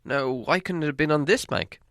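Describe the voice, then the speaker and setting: An eager, anxious little hobbit played by a male storybook reader speaks in a raised, high voice. He stammers and hesitates, then exclaims urgently, in a close, dry recording. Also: brisk, fairly bright tone, no room sound. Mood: hesitant, observant.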